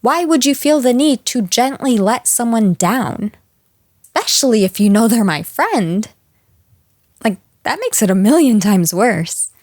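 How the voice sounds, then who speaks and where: A woman's voice: on the high side, animated, darkish tone, no room sound, clean studio sound. A woman playing a jealous, possessive, unhinged girlfriend in an intimate roleplay audio drama, taunting the listener with condescending sweetness and menace. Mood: jealous, incensed. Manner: slow, taunting, condescending pet names, slipping into breathy whispers.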